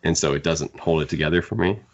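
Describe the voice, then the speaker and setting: A man's voice: very deep voice, quick pace, highs cut off. A man co-hosting a book podcast, talking casually and analytically as he gives his opinions. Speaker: podcast co-host discussing books.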